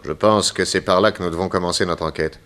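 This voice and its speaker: Methodical detective, lowish male voice, probing, decisive, classic radio serial. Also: steady tone, quick pace, a bit bright. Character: inquisitive, methodical male investigator. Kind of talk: 1960s French radio drama acting.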